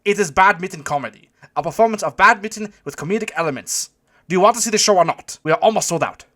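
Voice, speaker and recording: Storybook German impresario: irritable, self-important, heavy accent, high-pitched bark. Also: male voice, lively intonation, fast. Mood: impatient, irritable. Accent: thick German. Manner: barking, imperious, shouting. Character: rotund bald German showman, irritable and pompous.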